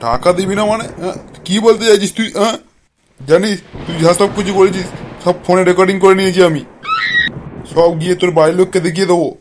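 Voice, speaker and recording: A man's voice: sits high, fast talker, a little hiss or hum, natural voice. A man voicing a menacing, scheming, blustering husband in a comic cartoon. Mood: threatening. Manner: bullying, threatening. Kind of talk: comic cartoon villain dialogue.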